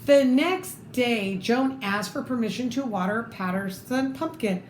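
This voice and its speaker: Lady reading a kids book out loud, slow n expressive, echoey room w faint noise. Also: fairly high pitch. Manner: slow, expressive, pausing to comment. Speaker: storybook reader. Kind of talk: children's picture book read-aloud with asides.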